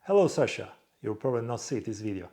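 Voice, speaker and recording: A young man from Croatia speaks in a relaxed vlog Q&A. He answers fans off the cuff, bluntly, and swears freely. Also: mid-range voice, fast talker. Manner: blunt, swears freely, reads viewer questions aloud in a louder voice. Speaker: vlog host answering viewer questions. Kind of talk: casual Q&A monologue.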